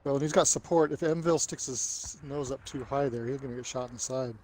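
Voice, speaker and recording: Male esports analyst, mid-pitched, chatty commentary with slight noise behind him. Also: quick pace, darkish tone. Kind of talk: conversational analysis, game commentary.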